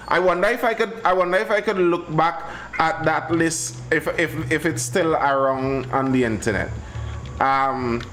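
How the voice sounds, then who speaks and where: A man hosting a casual live-stream chat, thinking aloud in a slow, halting way. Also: fairly high pitch, a bit bright, a little hiss or hum, low-quality recording. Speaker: host.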